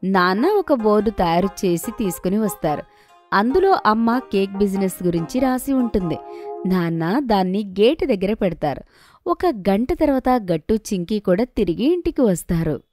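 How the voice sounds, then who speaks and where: A woman narrates a children's story in a medium-pitched voice. It is scripted storytelling, with light music playing underneath. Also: lively intonation, normal speed, darkish tone.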